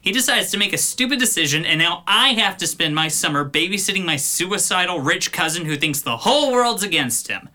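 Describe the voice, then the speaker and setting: A man's voice: high voice, animated, fairly quick, few pauses, bright, forceful tone, exaggerated voice. A man playing a resentful, sarcastic young son in an audio drama, sullen and grudging in manner. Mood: grudging, bitter.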